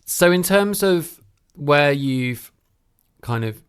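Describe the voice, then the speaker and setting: A man's voice: a little high, lively intonation, slow pace, clear, resonant tone. A man hosting an interview podcast, putting thoughtful, exploratory questions to his guest in a conversational way.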